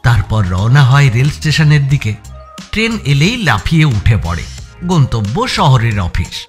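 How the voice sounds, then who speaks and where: A man with a West Bengal Bengali accent narrates a first-person suspense audiobook in an expressive, measured storytelling manner with deliberate pauses. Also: mid-range voice. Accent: Bengali from West Bengal, India. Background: music.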